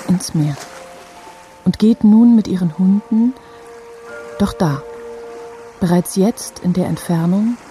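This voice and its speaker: A woman narrating a radio drama in a measured, literary, repetitive manner. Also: lowish voice, dark tone. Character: detached female storyteller linking scenes. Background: music.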